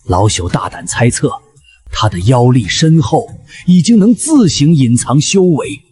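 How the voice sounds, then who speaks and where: A man's voice: mid-range voice, very animated, measured pace, warm tone, husky, exaggerated voice. A man playing an old sorcerer who advises a prince, deferential yet ruthless and scheming, in a costume fantasy audio drama. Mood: sly, calculating.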